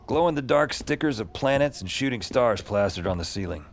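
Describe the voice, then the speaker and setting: An actor playing a world-weary detective hero, narrating a hard-boiled supernatural audio drama in a wry, confiding way. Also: male voice, medium pitch, lively intonation, brisk, lightly acted. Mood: wry, brooding. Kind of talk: noir-style audio drama narration and dialogue. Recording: music under the voice. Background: music.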